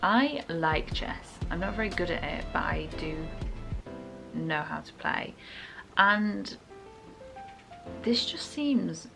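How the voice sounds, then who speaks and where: Young woman, low creaky voice. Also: lively intonation, takes it slowly, pauses often, music in the background. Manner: chatty, informal, hedging her opinions. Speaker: book reviewer. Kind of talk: casual chat to viewers about books.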